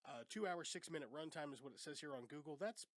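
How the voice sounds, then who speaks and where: A man hosts a casual movie podcast while congested and sick, his voice sitting a little high. He talks in a loose, chatty way and swears casually. The sound is dry and close, from a studio mic in a small home studio. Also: animated, fast, no echo. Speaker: podcast host. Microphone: studio microphone.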